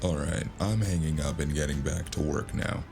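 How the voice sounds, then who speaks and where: A man's voice: a bass voice, gravelly. An actor playing a wry, world-weary cyberpunk freelancer who narrates his own noir tale in a sardonic, musing way. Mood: dryly sardonic. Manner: sardonic, musing, drawn-out first-person storytelling. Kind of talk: noir audio drama narration. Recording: music behind the voice.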